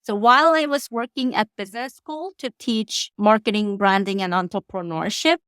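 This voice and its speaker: A middle-aged woman from South Korea, a professor answering interview questions in measured, polished, formal phrasing. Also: medium pitch, a bit bright, smooth, dry recording, clean studio sound. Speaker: guest professor.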